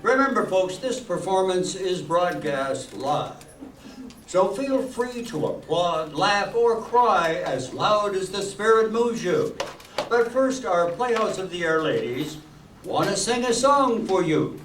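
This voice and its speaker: Man playing a deliberate old-time radio announcer, echoey hall. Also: high-pitched, lively intonation, fairly bright tone, exaggerated voice. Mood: welcoming showman. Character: genial 1940s radio show announcer and narrator. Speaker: live radio play host and announcer. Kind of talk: 1940s radio announcing, scripted. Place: stage of an auditorium with live audience. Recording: echoey hall from far off, faint noise.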